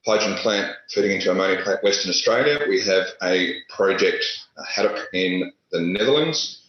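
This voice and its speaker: A low-pitched man gives his panel remarks over a video call. He talks haltingly and keeps restarting with fillers. The sound is dull and lo-fi, with heavy room echo. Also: a bit bright. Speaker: panelist, industry executive. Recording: echoey, dull lo-fi audio.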